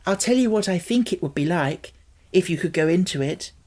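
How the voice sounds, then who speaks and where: Woman voicing a breathless, high-pitched young girl. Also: animated, brisk, darkish tone. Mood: coaxing. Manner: breathless, tumbling words. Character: young girl, earnest, excitable, pleading.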